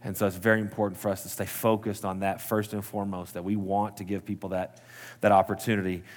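Earnest pastor, low voice. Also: male voice, little pitch movement, fast talker, big-room sound. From Texas. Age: 30s. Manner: conversational, self-correcting, earnest. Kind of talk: sermon. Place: church sanctuary.

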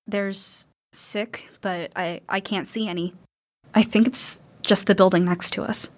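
A woman's voice: medium pitch, steady tone, a little slow, natural voice. A young woman acting a determined, hopeful daughter surviving in an audio drama, earnest and insistent. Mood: hushed, determined.

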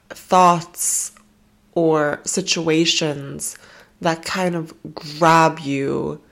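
A woman's voice: low voice, creaky. A woman hosting a self-help podcast, talking casually and affectionately with fillers, while she has a cold. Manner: gentle, affectionate, rambling with fillers. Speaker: podcast host. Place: home in Germany.

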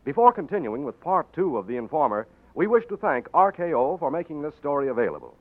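A man's voice: a little high, big pitch swings, fast. A man announcing a 1940s radio show in a polished, emphatic way. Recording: tinny 1940s radio broadcast.

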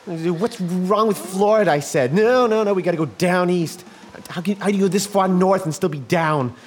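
An actor playing a meek, nervous middle-aged husband, a washed-up talk show host who stammers through a comic audio drama. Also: male voice, high voice, moderate pace, slight background noise, natural voice. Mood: nervous muttering.

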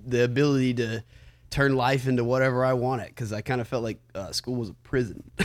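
A man's voice: medium pitch, moderate pace. A man in his late twenties, a musician, talking in an earnest, reflective way with lots of fillers in a casual podcast chat. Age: 20s.

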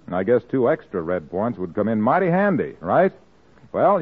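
A tinny, narrow old radio recording of a male announcer in 1940s style. His voice is husky and very lively in pitch, pitching messages like an emphatic salesman.

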